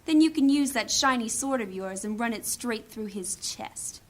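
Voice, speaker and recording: Woman acting menacing female thief, higher voice, commanding, sardonic, fantasy audio drama. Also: lively intonation, normal speed, natural voice. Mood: grim, goading.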